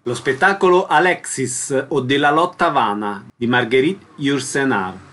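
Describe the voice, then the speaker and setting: A man's voice: medium pitch, a little slow, a bit bright. A man hosting a radio show, reading scripted announcements.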